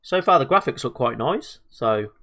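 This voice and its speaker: A man's voice: mid-range voice, lively intonation, normal speed, bright, forceful tone. A man with a slightly stuffed-up voice from a cold, hosting casual let's-play commentary in a chatty, hesitant way. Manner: chatty, hesitant, lots of fillers and self-talk. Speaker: gaming video host.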